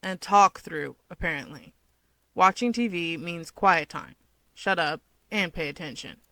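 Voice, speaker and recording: A woman's voice: fairly low pitch, unhurried, bright, forceful tone, dry recording, poor audio. Woman as a surly, sarcastic young man. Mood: snappish. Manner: curt, scornful.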